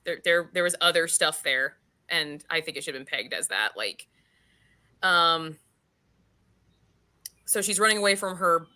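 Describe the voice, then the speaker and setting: A woman's voice: low-pitched, lively intonation, a little slow, long pauses, pushed and bright. A woman hosting a casual book discussion, talking in a frank, informal way with some swearing. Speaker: book club host.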